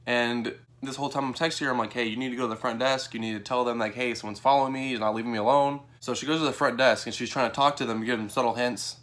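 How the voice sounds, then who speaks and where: A man's voice: mid-range voice, steady tone, fast, few pauses, fairly bright tone. A young American man telling a personal story in a casual, conversational vlog style, voicing his own reactions informally. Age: young adult. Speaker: vlogger.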